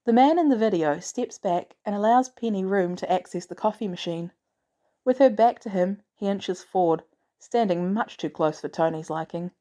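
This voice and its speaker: A woman's voice: medium pitch, moderate pace, dark tone. A woman reading a scripted story aloud as a narrator. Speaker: audiobook narrator of fan fiction.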